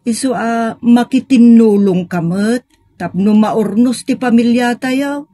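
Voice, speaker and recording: A woman's voice: a little high, slow pace, mellow, no echo, clean studio sound. A woman playing a patient, devout older wife in an audio drama, earnest and coaxing, with an Ilocano accent. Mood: earnest, reassuring. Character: patient, devout older wife counseling her troubled husband. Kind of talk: Filipino audio drama acting.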